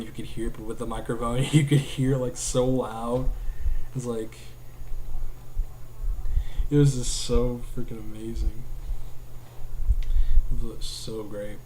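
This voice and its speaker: Young male vlogger, mellow and even-toned, rambling webcam talk from a small home room. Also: mid-range voice, slight background noise, poor audio. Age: young adult. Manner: rambling, heavy use of "like", restarts often. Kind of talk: casual talk to camera. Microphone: webcam at desk distance.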